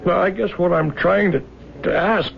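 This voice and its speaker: Timid young bridegroom, gravelly edge, hesitant delivery, dull vintage radio sound. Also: male voice, sits high, normal speed. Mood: nervous, hesitant. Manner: hesitant, stammering. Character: anxious, timid young bridegroom, awkward and superstitious. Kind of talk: old-time radio drama acting. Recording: dull lo-fi 1970s radio broadcast.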